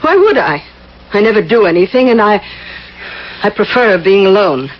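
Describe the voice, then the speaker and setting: An actress playing an eccentric, self-absorbed wealthy recluse in a radio drama, haughty and languid, with effortful breath and dramatic pauses. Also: female voice, medium pitch, big pitch swings, close to an everyday voice. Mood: superior, dreamy. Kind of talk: 1980s radio drama acting. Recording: muffled, noisy old radio broadcast.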